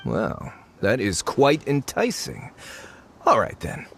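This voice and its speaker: A fussy, eager archaeologist. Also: male voice, medium pitch, huge pitch swings, background noise, heavily acted. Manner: brisk, slightly impatient.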